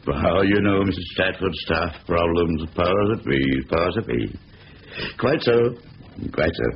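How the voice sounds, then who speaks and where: A man's voice: low-pitched, a little slow, creaky. A man acting a fussy, pedantic middle-aged bank manager in a 1970s radio drama, officious and given to repeating his phrases. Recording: dull, faintly noisy 1970s radio recording.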